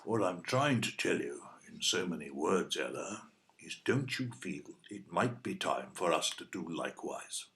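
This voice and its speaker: An actor playing a blunt, stubborn older British husband in an audio play, speaking in a dry, matter-of-fact way. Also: male voice, sits low, sing-song, unhurried, fairly bright tone, heavily acted. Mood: dry, persistent. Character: blunt, grumpy older husband wanting his freedom.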